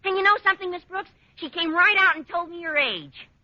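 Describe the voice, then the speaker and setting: A man's voice: very high-pitched, a little fast, pushed and bright, no room sound, exaggerated voice. An actor playing an eager, cheeky teenage boy, gossipy and overeager, in a 1940s radio comedy. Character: eager, cheeky teenage schoolboy with squawky high voice. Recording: muffled old radio recording.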